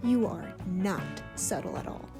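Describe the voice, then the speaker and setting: An actress playing a crude, cocky love mentor in an audio drama, with slangy, mock-wise teasing. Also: female voice, mid-range voice, measured pace, gravelly, music in the background.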